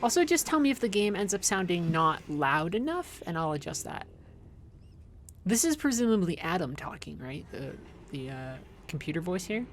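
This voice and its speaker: A woman's voice: fairly low pitch, very animated, normal speed, slight background noise. A woman doing casual livestream game commentary, chatting informally with viewers and rambling into playful asides. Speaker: livestream host playing a video game.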